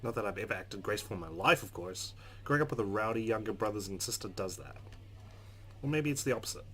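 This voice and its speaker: A man doing a let's play, reading a game's narration aloud in an expressive, measured, dramatic way. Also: lowish voice, slight background noise. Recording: game music under the voice.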